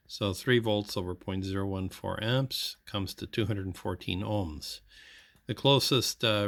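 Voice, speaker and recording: A man giving an unscripted how-to narration as a hobbyist, matter-of-fact and hesitant, often pausing mid-sentence. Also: lowish voice, a bit bright. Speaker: hobbyist electronics repair demonstrator.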